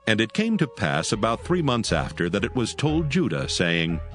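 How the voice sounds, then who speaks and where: A man narrating an archaic scripture text in a measured, solemn reading. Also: fairly low pitch, huge pitch swings, fairly bright tone, faint music behind.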